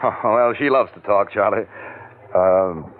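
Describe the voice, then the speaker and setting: A nervous scheming office manager. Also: male voice, fairly low pitch, slow. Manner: smooth-talking, hesitant when cornered. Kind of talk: 1940s radio acting. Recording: tinny lo-fi old radio recording.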